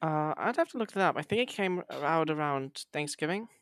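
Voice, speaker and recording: Man, higher pitch, rambling host, dry close mic. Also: expressive, a little fast, fairly bright tone, no echo. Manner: rambling, hesitant storyteller with fillers and restarts. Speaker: podcast host. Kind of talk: casual chat.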